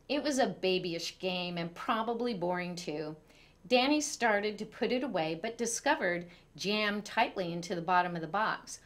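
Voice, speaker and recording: A woman reads aloud as a storyteller. She narrates a children's picture book expressively, at a medium pitch for a woman.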